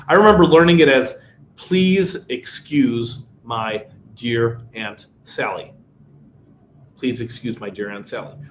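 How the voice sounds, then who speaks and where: A man's voice: mid-range voice, slight background noise. American man teaching a webinar, roomy sound. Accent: Chicago. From United States. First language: Polish. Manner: explains with everyday examples, frequent fillers. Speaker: workshop presenter. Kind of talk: webinar lecture. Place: indoor room. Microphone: webcam video call.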